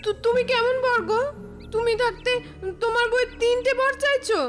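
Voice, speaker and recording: A woman's voice: extremely high pitch, steady tone, normal speed, soft background music. A woman voicing a simple, fearful, nagging village wife in a children's cartoon, with a whiny theatrical delivery. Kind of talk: animated cartoon dialogue for children.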